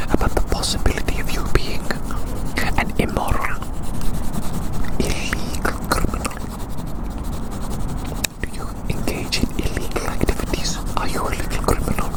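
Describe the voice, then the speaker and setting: Whispering ASMR creator. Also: low-quality recording. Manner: repeats words and phrases soothingly; playful, addressing listener as a little creature. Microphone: close microphone.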